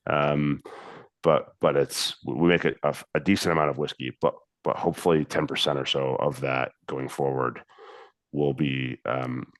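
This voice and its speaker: American man, extremely low voice, dry close sound, loose halting delivery full of ums. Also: no echo. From United States. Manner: relaxed, halting, many fillers and restarts. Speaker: distillery representative. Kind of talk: casual talk on a video call tasting.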